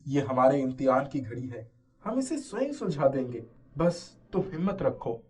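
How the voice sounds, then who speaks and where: An actor playing a gentle, earnest middle-aged father who struggles but stays steadfast, in a scripted story. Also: medium pitch, sing-song, normal speed, close to an everyday voice. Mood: reassuring.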